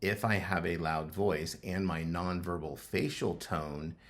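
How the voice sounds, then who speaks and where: A middle-aged man co-hosting a podcast, speaking in a halting way with many pauses and restarts. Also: deep voice, fairly even intonation. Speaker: podcast co-host. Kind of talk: conversational couples podcast.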